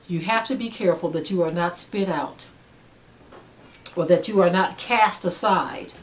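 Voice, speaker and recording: A woman speaking as a religious teacher in a sermon style, earnest and emphatic, urging listeners with repeated phrases. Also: mid-range voice. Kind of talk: sermon-like spoken exhortation.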